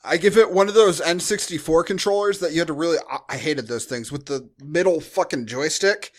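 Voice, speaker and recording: Higher-voiced American man chatting casually. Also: fairly quick. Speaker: podcast co-host. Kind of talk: casual chat, movie review.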